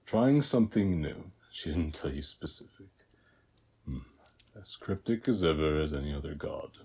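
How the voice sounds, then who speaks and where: An actor playing a calm, hospitable warrior who guards a seized temple, speaking in an unhurried, measured way in an audio roleplay. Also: male voice, rumbling low, breathy edge, exaggerated voice. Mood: solemn, frank. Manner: unhurried, measured, with long pauses between short phrases. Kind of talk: scripted audio roleplay, speaking softly to the listener.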